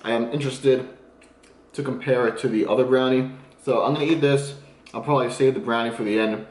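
A man's voice: medium pitch, steady tone, brisk, roomy sound, a little hiss or hum. A man in his twenties vlogging his meals at home, explaining his diet tips in a casual, upbeat way while eating on camera. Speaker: fitness vlogger. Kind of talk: casual food vlog. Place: small room at home, then home kitchen.

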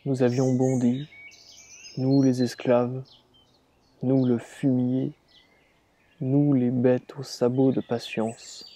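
A man reciting a dramatic monologue as a dying rebel slave, in grave, deliberate, measured phrases. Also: mid-range voice, level tone, dark tone. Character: dying rebel slave reliving his revolt, grave and defiant. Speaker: reader performing a dramatic monologue. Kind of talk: slow literary dramatic recitation.

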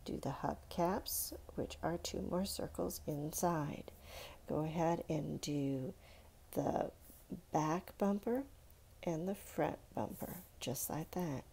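A woman's voice: deep voice, slow, creaky, dark tone, breathy edge. A woman giving a step-by-step children's drawing lesson, asking simple questions and encouraging young viewers directly. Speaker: children's art teacher. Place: indoors at a stone countertop.

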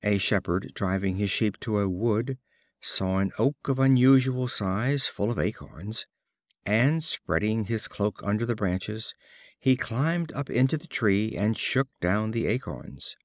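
A man narrating short fables as a scripted storybook reading, in a deliberate, expressive storytelling manner. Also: fairly low pitch, no echo, studio quality. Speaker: audiobook narrator.

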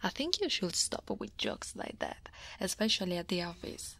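A woman from Italy voicing a guarded but teasing female dragon office senior in a soft, breathy ASMR roleplay. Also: lowish voice, wildly swooping pitch, normal speed, exaggerated voice. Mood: teasing, flustered. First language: Italian. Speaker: voice actor.

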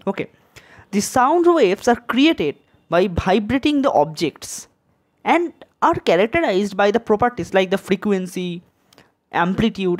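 A woman's voice: medium pitch, a little mellow. A woman presenting a tutorial in a scripted, educational narration style.

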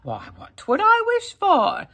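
A woman's voice: extremely high pitch, huge pitch swings, takes it slowly, pushed and bright, voice pushed up, exaggerated voice. A woman voicing a lazy, self-pitying Irish peasant man who whines, with an Irish accent. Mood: complaining.

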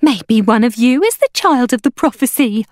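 A woman's voice: on the high side, very animated, unhurried, no echo, studio quality. A woman voicing a friendly female peddler in an audiobook, effusive and grand in her sing-song delivery. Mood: grandly excited. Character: soft, gushing, friendly woman cart hawker. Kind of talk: audiobook character voice.

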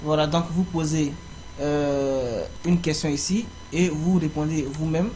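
A young man with a slightly high voice guides viewers through an application form step by step. He speaks haltingly and fills gaps with hesitation sounds. A faint background noise runs under his voice. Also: fairly even intonation, dark tone. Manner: halting, frequent fillers. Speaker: tutorial presenter. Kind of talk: screen-recorded walkthrough.

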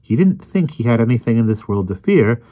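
A man voicing a bouncy, arrogant know-it-all gentleman lecturing theatrically in an audiobook story. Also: mid-range voice, big pitch swings, brisk, pitched above the performer's own voice. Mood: smug, explaining. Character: smug, bouncy, know-it-all older gentleman explaining mysteries.

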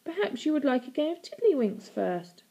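Wicked storybook aunt female reader: cruel, gloating, patronising, theatrical, with creaky edges. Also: high voice, big pitch swings, normal speed, dark tone, lo-fi sound, pitched above the performer's own voice. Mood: mocking glee. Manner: mocking baby talk, theatrical. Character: gloating villainous aunt, mocking, cruel and sickly-sweet.

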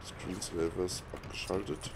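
A man's voice: low-pitched, steady tone, a little slow, creaky, noisy background. A man giving casual, unscripted game commentary as host, muttering offhand reactions to himself. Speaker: gaming let's play host.

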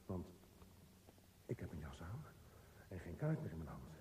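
A man's voice: low-pitched, very animated, moderate pace, noisy background. Distant, echoing brooding man. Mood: brooding, muttering. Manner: muttering, self-absorbed, with mocking outbursts. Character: brooding male media director muttering to himself in the dark. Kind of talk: radio play monologue. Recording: distant, echoey hall with long reverb.

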